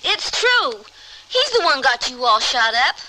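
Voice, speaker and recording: Radio western role: defiant young rural woman, high, sharp and highly animated. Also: measured pace, heavily acted. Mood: angry, scolding. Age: young adult. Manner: blunt rural speech, scolding. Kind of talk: old-time radio western acting. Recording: slightly noisy radio drama recording.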